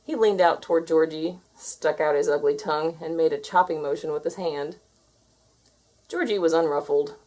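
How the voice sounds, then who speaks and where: A woman reading a children's story aloud as an expressive narrator. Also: low voice, normal speed. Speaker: storybook reader.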